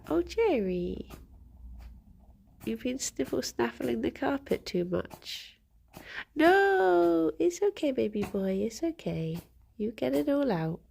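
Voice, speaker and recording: A woman chatting on a morning stream, her voice thick and stuffy from a blocked nose. She talks at an unhurried pace, with a gravelly rasp. She greets her viewers one by one, giggling as she goes. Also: medium pitch. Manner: chatty, greets viewers by name, giggly, soft baby talk to pets. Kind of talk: casual morning stream chat.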